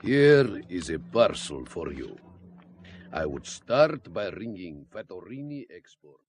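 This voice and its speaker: Actor as a deep-voiced, courtly Russian spymaster. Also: male voice, big pitch swings, takes it slowly, slight background noise, heavily acted. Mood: grave, confiding. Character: courtly, philosophical Russian intelligence officer, vodka drinker. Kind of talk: spy audio drama acting.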